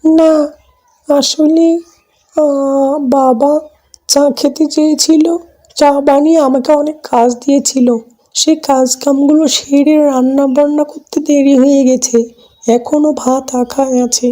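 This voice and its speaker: A woman's voice: sits high, level tone, unhurried, dark tone. A woman voicing a meek, timid young bride in a cartoon, speaking hesitantly and apologetically. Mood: apologetic.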